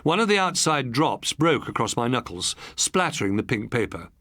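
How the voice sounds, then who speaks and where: A man's voice: mid-range voice, big pitch swings, normal speed, a bit bright, no echo, studio quality. A man reading an audiobook as a dramatic, scripted narration.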